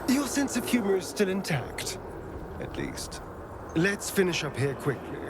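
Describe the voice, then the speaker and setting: Arch vain game rogue, biting wit, swooping delivery, loud ambient noise behind. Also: male voice, sits high, huge pitch swings, a little slow, heavily acted. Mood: dry sarcasm. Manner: arch, theatrical, sardonic. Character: sardonic vain male adventuring companion with a biting wit. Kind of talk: fantasy video game acting.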